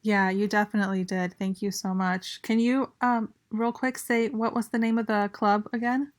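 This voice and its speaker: A woman hosting an online audio chat, measured and friendly, with fillers. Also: mid-range voice, steady tone. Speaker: host.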